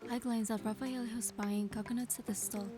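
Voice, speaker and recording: A woman playing a playful young heroine in a game, narrating softly in the first person. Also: a little high, steady tone, normal speed, lightly acted. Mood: calm narrating. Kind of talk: scripted first-person narration with character dialogue. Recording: studio voice over clear background music. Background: music.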